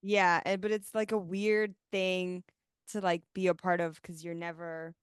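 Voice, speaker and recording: A woman's voice: on the low side, level tone, measured pace, clean tone, no echo, clean studio sound. A woman hosting a podcast, chatting casually and reflectively with many fillers. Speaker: podcast host. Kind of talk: casual interview chat.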